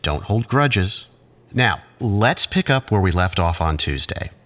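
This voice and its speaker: A male actor playing a condescending, controlling psychiatrist who talks in patronizing, measured therapy-speak in an audio drama. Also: fairly low pitch, big pitch swings, a bit bright. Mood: smoothly patronizing.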